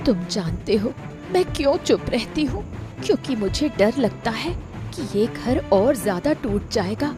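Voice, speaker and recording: A woman voicing a long-suffering elder daughter-in-law in an animated story, wounded, resentful and plaintive. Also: fairly high pitch, measured pace, over music. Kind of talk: melodramatic animated story acting.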